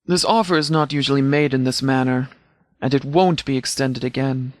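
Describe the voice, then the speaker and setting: An actor playing a commanding post-apocalyptic sheriff in a video game, speaking in a clipped, formal, authoritative way. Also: male voice, a little high, no room sound, clean studio sound. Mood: measured, formal.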